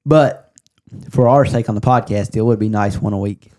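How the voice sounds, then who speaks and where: Male podcast host, soft mellow tone, relaxed casual chat. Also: fairly low pitch, moderate pace.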